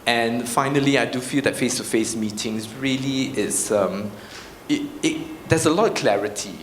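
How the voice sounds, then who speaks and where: A man's voice: medium pitch, normal speed. A man with a Singaporean accent speaking informally on a panel, explaining his points conversationally and checking in with short tag questions. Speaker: panel speaker, arts practitioner. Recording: roomy echo, clear background noise.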